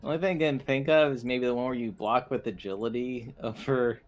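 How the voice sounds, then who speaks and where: A man's voice: mid-range voice, fairly even intonation, a little fast, a little hiss or hum. A man talking casually as a player in a tabletop roleplaying game. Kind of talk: casual chat.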